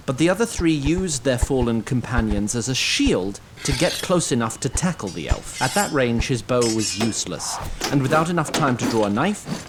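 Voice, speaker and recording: A man's voice: mid-range voice, a little hiss or hum. A man narrating a scripted fantasy audio drama in a dramatic storytelling style.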